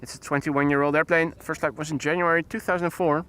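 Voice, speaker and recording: Guy in his 40s doing live plane spotting, kinda high voice, chatty, says hi to everyone in chat. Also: level tone, quick pace, a bit bright, clear, resonant tone. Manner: chatty, greets viewers by name while commenting. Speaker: live-stream host.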